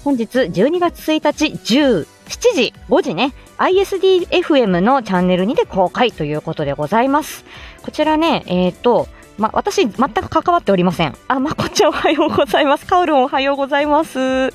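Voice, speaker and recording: A woman's voice: sits high, very animated, a little fast, faint music behind. A woman chatting casually as a live-stream host, greeting listeners from inside a car.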